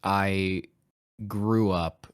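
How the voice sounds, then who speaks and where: A man with a very low voice and a neutral mid-Atlantic American accent, speaking as a podcast guest. He talks in a reflective, self-deprecating way and laughs easily. The sound is dry and close, with no room echo. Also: fairly even intonation, drawn-out words, smooth. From Appalachian region of the United States. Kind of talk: casual conversational interview.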